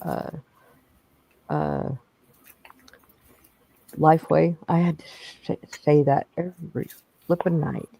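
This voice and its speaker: A man's voice: a little high, fairly even intonation, takes it slowly, creaky, pauses often, warm tone, no room sound, poor audio. A man chatting casually on a bingo stream, rambling through stories with restarts. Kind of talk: casual chat.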